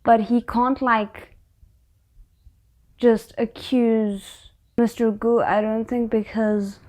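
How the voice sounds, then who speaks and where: A woman giving casual, expressive reaction commentary on a drama, thinking aloud as she goes. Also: fairly high pitch, takes it slowly. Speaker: reaction video host.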